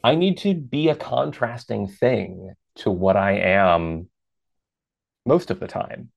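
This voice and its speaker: A man in his thirties with a deep voice, a podcast guest talking things through in a reflective, measured way with lively asides. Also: creaky. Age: 30s. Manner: reflective and measured, lifts into animated quoted asides. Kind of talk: casual interview chat.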